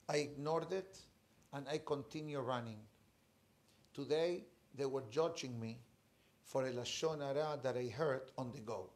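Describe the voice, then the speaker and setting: A man in his forties, a rabbi, telling a story in a Torah class in an emphatic, animated way with pauses. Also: mid-range voice. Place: study room with bookshelves, indoors. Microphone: fixed camera, seated at desk.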